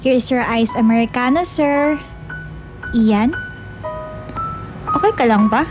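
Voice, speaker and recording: A woman's voice: on the high side, takes it slowly, low-quality recording. A woman playing a bubbly, caring young barista in a Filipino radio drama, playfully scolding and giggly. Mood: concerned. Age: young adult. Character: bubbly, caring young working-student barista, feigning exasperation. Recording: loud music under the voice. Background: loud background music.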